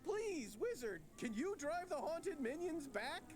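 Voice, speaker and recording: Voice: sits high, unhurried. An actor voicing a worried game townsperson who begs the player for help against undead mobs. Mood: pleading. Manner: pleading, lively. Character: worried townsperson guarding a street. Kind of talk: game voice-over dialogue. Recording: game audio with background music.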